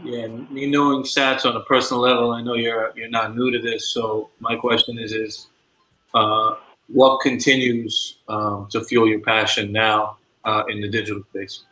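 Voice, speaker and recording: A man asking a panel guest a question, hesitant and careful with pauses. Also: medium pitch, level tone, pushed and bright, reverberant, low-quality recording. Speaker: audience questioner.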